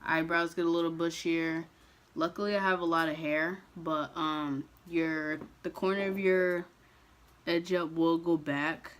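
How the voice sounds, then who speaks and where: A young man chatting casually to camera as a vlogger, relaxed and loose, with frequent ums and restarts. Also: on the high side, unhurried, slight background noise.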